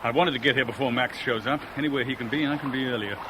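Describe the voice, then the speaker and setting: A man's voice: mid-range voice, fairly even intonation, fast, close to an everyday voice. An actor playing a bitter, lovelorn man in a 1970s radio drama, sardonic and clipped. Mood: troubled. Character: bitter, lovelorn man caught in a love rivalry. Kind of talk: 1970s British radio drama acting with narration. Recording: noisy old radio broadcast recording.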